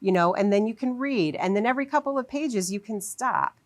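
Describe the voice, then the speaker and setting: A woman's voice: mid-range voice, expressive, fairly quick. A woman teacher explaining in a conversational interview style, giving everyday examples as she talks. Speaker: English teacher and literacy advocate being interviewed.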